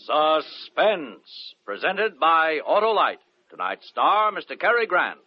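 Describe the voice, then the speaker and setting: Animated American radio announcer, a man. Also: fairly high pitch, slow, faint music behind. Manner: emphatic, polished sales delivery. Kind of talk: 1940s radio commercial announcing. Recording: dull vintage radio recording.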